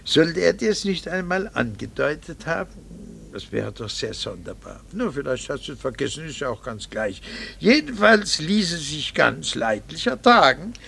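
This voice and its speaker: An actor playing an embittered, coldly vengeful middle-aged husband in a radio play, sarcastic, theatrical and precisely articulated. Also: male voice, a little high, sing-song, moderate pace, vocal fry, a bit bright, a little hiss or hum, full character voice. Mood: coldly triumphant. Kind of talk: German radio play acting.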